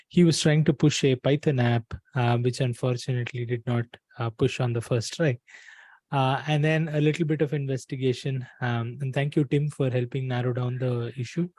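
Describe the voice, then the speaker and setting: A mellow-voiced man of medium pitch gives an explanation on a work call. His delivery is measured and halting, with little room sound around it. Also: no room sound. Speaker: open source community member presenting an issue. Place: bedroom or small room, video call.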